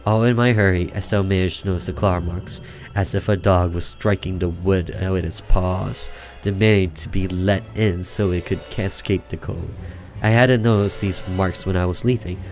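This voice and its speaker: Man, low voice, theatrical story reading, gaming host, occasional stumbles, music behind. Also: steady tone, moderate pace, darkish tone. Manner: theatrical, stumbles over words and rereads. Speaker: gaming video host reading a visual novel aloud. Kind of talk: dramatic reading aloud with asides. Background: game music.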